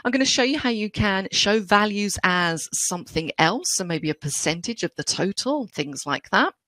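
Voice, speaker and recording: A woman's voice: mid-range voice, moderate pace, no echo. A woman with a London accent, an IT trainer talking through a webinar lesson in a chatty, explanatory way. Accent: London English. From England. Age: middle-aged. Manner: chatty, explanatory, animated delivery. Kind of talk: webinar software tutorial.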